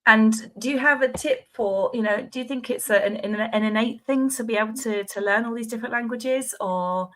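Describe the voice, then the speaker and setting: A woman hosting a live online talk show over a video call, thanking speakers and filling pauses with "uh" and "um". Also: a little high. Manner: warm, lots of filler "uh" and "um". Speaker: podcast host.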